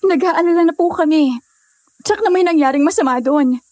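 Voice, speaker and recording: A woman's voice: very high voice, normal speed, mellow. A woman playing an anxious, earnest young hiker in an audio drama, fretting over a friend. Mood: worried, urgent.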